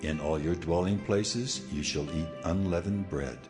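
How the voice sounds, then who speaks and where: A man's voice: deep voice, measured pace, music in the background. A man playing a solemn, commanding God who lays down law in a dramatized scripture production, stately and declamatory.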